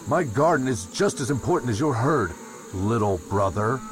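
A man's voice: medium pitch, animated, moderate pace, noisy background, close to an everyday voice. A man playing a resentful, prickly elder brother in a fantasy audio drama, curt, defensive and bitter. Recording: loud insect ambience behind.

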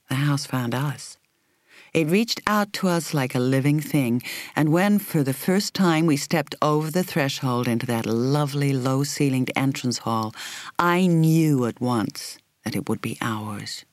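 Measured woman audiobook narrator, very dry sound. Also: deep voice, no echo. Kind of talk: scripted literary narration, first-person novel reading.

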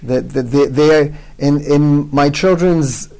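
A man's voice: a little high, slow, warm tone. A man from Israel with an Israeli accent, a native Hebrew speaker, talking as an interview guest in English, hesitant, with many restarts. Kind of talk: conversational interview.

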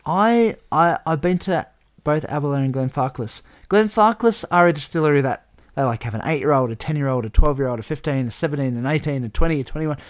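A man co-hosting a casual podcast, chatty and rambling, his voice a little croaky. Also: a little high, lively intonation, a little fast, few pauses. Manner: chatty, rambling with restarts and laughs. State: slightly croaky voice. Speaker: podcast co-host. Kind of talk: casual chat.

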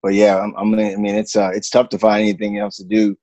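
A man, a basketball coach, talking casually in an interview, relaxed and halting with many fillers. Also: on the low side, no room sound.

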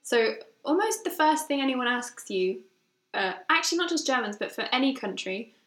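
She is a young woman from Switzerland with a fairly high voice. She chats casually as a co-host and has a teasing, giggly manner. The room around her echoes noticeably. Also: expressive, normal speed, fairly bright tone. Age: young adult. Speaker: podcast co-host. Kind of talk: casual chat. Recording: roomy, echoing indoor recording.

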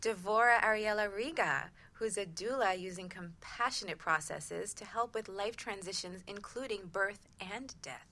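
A woman hosting a talk radio show, introducing and questioning guests in a lively way. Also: mid-range voice, moderate pace, hardly any pauses.

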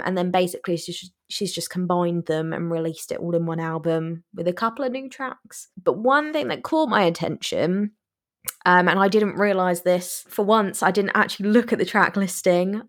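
A woman co-hosts a relaxed music podcast. She talks in a chatty, eager way and laughs often. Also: lowish voice, moderate pace. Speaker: podcast co-host. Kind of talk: casual music review chat.

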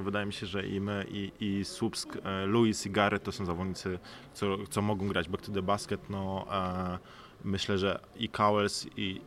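A man with a fairly deep voice gives an analytical sports interview. He explains his points thoughtfully and checks for agreement with short tag questions. Background noise is clearly present. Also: a bit bright. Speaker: professional basketball player, interview guest. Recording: clearly noisy background ambience.

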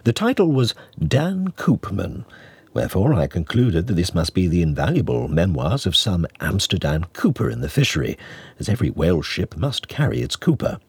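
A man reading a classic novel as an audiobook narrator, in a measured, expressive, mock-scholarly manner. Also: sits low, husky, no echo. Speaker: audiobook reader.

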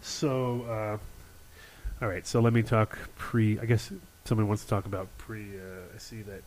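A man's voice: fairly low pitch, level tone, normal speed, a little mellow, rough recording. A man in his fifties from Rhode Island hosting a radio show, easygoing and jokey with guests. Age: 50s. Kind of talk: casual radio chat. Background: music.

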